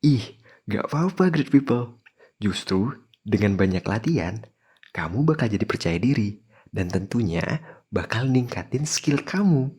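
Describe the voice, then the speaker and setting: A man's voice: lowish voice, lively intonation, measured pace, long pauses, a little mellow, husky. A man presenting a scripted podcast in a chatty way, speaking straight to listeners. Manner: chatty, addresses listeners directly. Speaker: podcast host.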